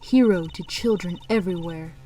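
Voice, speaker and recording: A woman voicing a calm, kindly mentor lawyer in a game fan dub, gentle and earnest. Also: lowish voice, normal speed, slight background noise, close to an everyday voice, rough recording. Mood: reassuring. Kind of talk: fan-dub visual novel voice acting.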